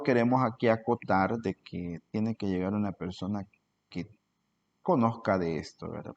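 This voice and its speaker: A man with a fairly low voice gives an earnest spoken lecture and keeps asking rhetorical questions. The recording sounds dull and lo-fi. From El Salvador. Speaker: cultural promoter.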